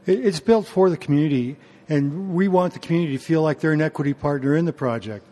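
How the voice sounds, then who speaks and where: Measured man, slightly higher pitch, interview chat with fillers. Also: warm tone. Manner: measured, clears throat, hesitant fillers. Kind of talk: casual interview conversation.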